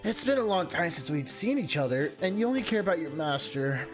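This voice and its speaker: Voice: mid-range voice, very animated, brisk, music in the background, natural voice. A performer voicing a playful, over-familiar man who teases dramatically, in an amateur visual novel reading. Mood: playful, pouting.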